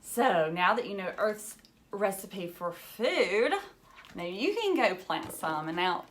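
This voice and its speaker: A woman's voice: mid-range voice, big pitch swings, slow pace, fairly bright tone, a little hiss or hum. A woman teacher reading a children's book aloud, adding simple, encouraging explanations in short phrases and putting questions to young listeners.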